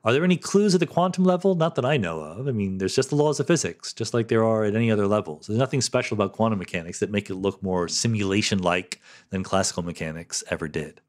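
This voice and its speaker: Middle-aged male podcast host, lowish voice, solo Q&A, explains patiently with "um" and "right?" checks. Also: animated, fast. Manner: thoughtful, explanatory, sprinkles "um" and "right?". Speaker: podcast host answering listener questions. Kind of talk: solo question-and-answer monologue.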